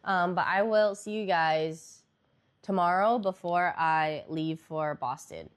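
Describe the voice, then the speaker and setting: A woman's voice: on the low side, slow pace, smooth. A young woman streamer chatting casually with viewers, congested and nasal, rambling with lots of fillers.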